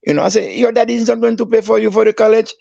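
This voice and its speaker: A man's voice: rapid-fire, vocal fry, no echo. A man from Haiti with a Haitian accent, a guest telling stories animatedly, acting out quoted dialogue. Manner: animated storyteller, acts out quoted dialogue with raised pitch. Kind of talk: casual storytelling. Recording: dry, dull lo-fi.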